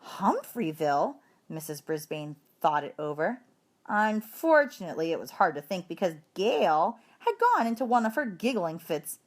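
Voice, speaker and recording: Animated woman reading a children's story. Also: on the low side, moderate pace. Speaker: story reader. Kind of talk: expressive children's storybook narration.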